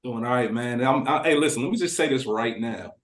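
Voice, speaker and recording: A man's voice: medium pitch, a little fast. A man chatting casually as a podcast guest, joking and slangy, recorded in a roomy space. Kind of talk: casual chat. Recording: roomy, echoey.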